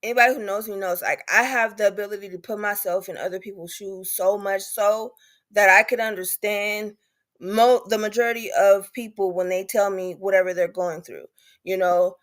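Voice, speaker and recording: A woman's voice: mid-range voice, fairly even intonation, normal speed. A woman, speaking candidly and emphatically as a patient advocate in a panel discussion, repeating points for stress. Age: 30s.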